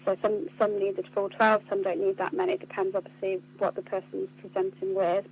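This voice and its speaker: A woman answers interview questions in an earnest, articulate way. Her pitch stays fairly flat as she explains. There is a faint hum and noise on the line. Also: fairly quick, low-quality recording. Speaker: charity communications officer being interviewed. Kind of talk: spoken radio interview answers.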